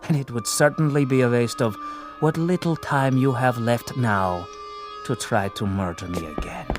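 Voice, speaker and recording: Casting: male actor as vengeful but controlled spirit of a murdered prisoner, radio play. Medium-pitched, formal, grave, faint music underneath. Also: natural voice. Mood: stern, condemning. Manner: measured, grave, coldly ironic, formal. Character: solemn, accusing ghost of murdered male camp prisoner, implacable. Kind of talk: radio drama acting.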